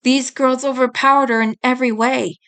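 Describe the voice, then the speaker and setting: A woman's voice: high-pitched, steady tone, a little fast, fairly bright tone, clear, resonant tone. A woman reading scripted fan fiction as an audiobook narrator. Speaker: audiobook reader.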